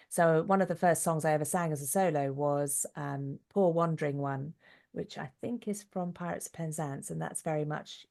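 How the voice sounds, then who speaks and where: A woman's voice: low voice, vocal fry. A middle-aged woman giving articulate, reflective answers in a conversational interview. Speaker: interview guest, actress, writer and singer.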